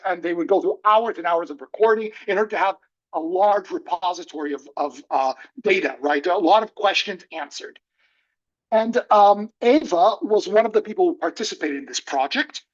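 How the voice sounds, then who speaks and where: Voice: medium pitch, muffled recording. A thoughtful academic presenter speaking hesitantly with many fillers during an online panel over a video call.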